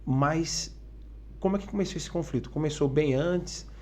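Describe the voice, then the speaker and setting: A man's voice: on the high side, normal speed, dark tone, a little hiss or hum. A man hosts a podcast interview. He rambles as he asks, stacking one question on another. His voice sits a little above the usual male range. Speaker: podcast host.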